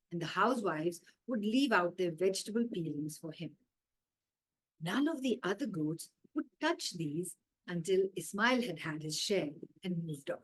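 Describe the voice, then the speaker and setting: A woman with an Indian accent reading a children's story aloud in a measured, expressive way, with dramatic emphasis and pauses. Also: mid-range voice, rough recording.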